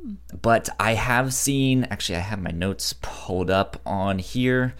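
Male podcast co-host, lowish voice, stutters on first sounds, halting casual film talk. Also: smooth. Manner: halting, hesitant delivery with frequent restarts.

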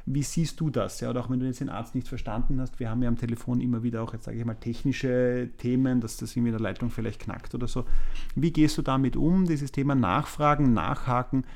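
A man's voice: medium pitch, fairly even intonation, fast talker, a little mellow. A man hosting a podcast, asking long rambling questions and summarizing the answers. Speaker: podcast host, managing director. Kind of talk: podcast interview.

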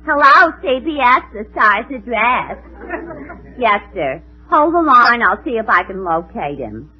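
A woman's voice: fairly high pitch, big pitch swings, a little slow, husky, exaggerated voice. A woman playing a gossipy, lovelorn telephone operator with a brassy comic delivery in an old radio comedy. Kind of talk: 1950s radio comedy acting. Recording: dull old radio recording, studio audience.

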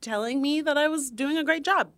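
Animated woman with a young-sounding voice. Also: sits high, brisk. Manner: animated storyteller, playfully indignant. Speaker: podcast co-host. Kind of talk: casual chat.

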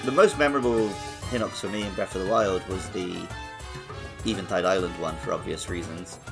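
A man's voice: low-pitched, brisk, vocal fry. A man co-hosting a podcast in casual, enthusiastic gaming discussion. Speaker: podcast host. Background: background music.

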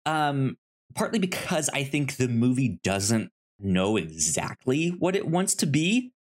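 A podcast co-host talks casually about films with an animated, wide-ranging pitch. The delivery is halting, and sounds and word beginnings often get repeated before the sentence moves on. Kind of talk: casual movie discussion.

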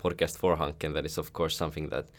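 A man hosts a podcast interview in a very low voice. His questions wander, and he strings them together with fillers and repeated words before he settles on what he wants to ask. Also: little pitch movement, quick pace. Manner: loose, filler-heavy questions. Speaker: podcast host. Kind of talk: casual interview chat.

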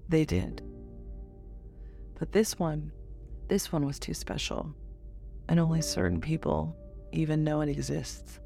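An actor playing a refined, guarded dignitary in an audio drama, speaking formally in a measured, deliberate way. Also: mid-range voice, fairly even intonation, creaky, dark tone, soft background music. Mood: reminiscing.

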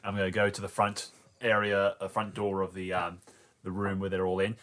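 A man's voice: deep voice, completely flat delivery, brisk, a bit bright. A man playing an improvised tabletop game, describing his character's moves in casual banter.

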